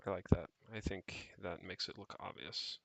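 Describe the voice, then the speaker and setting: Male programmer streamer, lowish pitch with vocal fry, halting and muttered, casual work-along commentary. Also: steady tone, a bit bright, no room sound. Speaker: programmer streaming own project. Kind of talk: casual think-aloud coding commentary.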